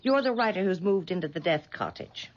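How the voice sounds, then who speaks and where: A woman's voice: lowish voice, lively intonation, fairly quick, slight background noise, natural voice. An actress playing a stooped, eccentric old island woman obsessed with astrology, speaking in a theatrical, sly and foreboding way in a 1970s radio drama. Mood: ominous. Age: older. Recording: dull vintage radio broadcast.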